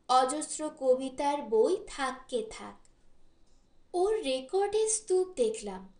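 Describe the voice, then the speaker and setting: Woman, high voice, unhurried audiobook storytelling, roomy echo. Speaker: audiobook narrator. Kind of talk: literary short story narration. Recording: roomy, echoing voice recording.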